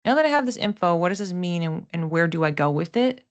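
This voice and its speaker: A woman's voice: fairly low pitch, lively intonation, quick pace. A woman hosting an astrology forecast in a casual, explanatory way, conversational and given to voicing imagined thoughts aloud. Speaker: astrologer host.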